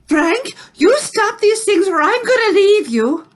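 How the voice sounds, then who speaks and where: An indignant, fed-up wife played by a woman speaks in a very high voice with big swoops. She is emphatic and theatrical, in old radio-play fashion. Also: big pitch swings, measured pace, full character voice. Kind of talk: old-time radio drama acting.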